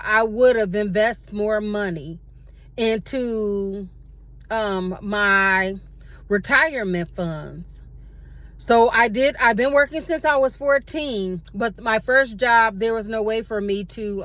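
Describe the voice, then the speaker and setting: A woman in her forties talking casually to her viewers from inside her car, unhurried and reflective, with many fillers and self-corrections. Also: medium pitch, a bit bright. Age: 40s. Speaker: vlogger. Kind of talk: casual reflective talk to camera. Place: parked car.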